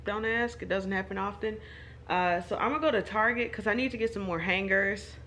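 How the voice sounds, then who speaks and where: A woman's voice: mid-range voice, brisk, bright, forceful tone. Casting: woman vlogger, chatty and self-deprecating, faint background hiss. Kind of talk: casual vlog talking to camera. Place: home, then in her car. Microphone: phone or camera, self-filmed.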